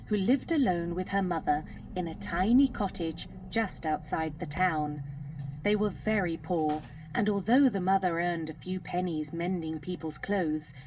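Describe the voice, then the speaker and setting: A woman's voice: fairly low pitch, a little mellow, a little hiss or hum, rough recording. A woman narrating a children's fairy tale as a scripted audiobook.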